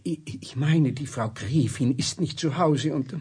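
Stuffy manservant, extremely breathy rasp, stammering, over-polite, formal, flustered, children's radio play. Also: male voice, a little high, unhurried, darkish tone. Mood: flustered, indignant. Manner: hesitant, stammering, stiffly formal.

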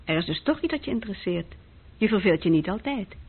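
A woman's voice: mid-range voice, big pitch swings, quick pace, breathy edge. An actress playing a direct, probing woman in conversation, in radio-drama style. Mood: probing, frank. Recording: dull, lo-fi old radio recording.

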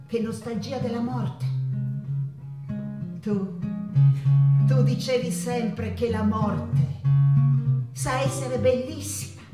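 An actress playing an intense, nostalgic woman painter in a theatrical monologue, speaking haltingly with deliberate pauses and repeated words. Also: a little high. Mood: brooding, nostalgic. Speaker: stage actress. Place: theatre stage. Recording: roomy distant stage recording with guitar. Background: acoustic guitar music.

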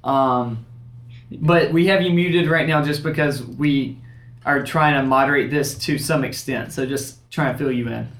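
A young man from Kentucky co-hosting a podcast, talking in a rambling, halting way. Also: medium pitch, a bit bright, roomy sound. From Kentucky, USA. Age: young adult. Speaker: podcast co-host. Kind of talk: casual chat.

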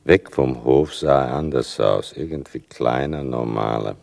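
An older man narrating a literary audio drama in a deliberate, sardonic way. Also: rumbling low, gravelly, a little mellow.

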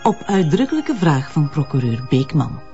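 A woman's voice: deep voice, sing-song, moderate pace, mellow, highs cut off, faint music behind. An actress playing a stern magistrate asserting her authority, in a radio drama. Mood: firm, cool.

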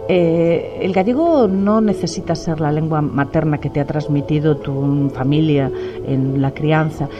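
A middle-aged woman from Galicia, a novelist answering interview questions in a measured, reflective way, pausing often with "eh". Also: sits low, highs cut off, over music. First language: Spanish. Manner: measured, reflective, many "eh" pauses.

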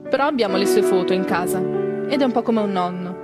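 A woman's voice: mid-range voice, fast talker, loud music behind the voice, lightly acted. A woman playing an earnest, naive young girl in a theatrical monologue. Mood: wistful, naive. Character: young girl recalling her small-town childhood, naive and earnest.

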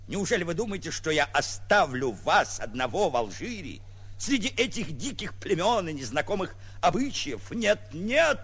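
Gallant, self-important prince for 1940s radio comedy: husky, animated, grand stagey drawl. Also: male voice, sits high, normal speed, full character voice. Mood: grand, assured. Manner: pompous, with hesitant drawled fillers. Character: suave smooth-talking self-styled prince, grandly gallant companion. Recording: muffled vintage 1940s radio recording.

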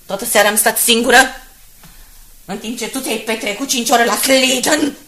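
Casting: woman to play embittered, hysterical wife in radio drama, high-pitched and piercing, accusatory, repetitive. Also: fairly bright tone, natural voice. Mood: bitter accusing. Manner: insistent, repeats words, accusing. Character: jealous, hysterical, bitter wife of a newspaperman. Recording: hissy 1980s radio drama recording.

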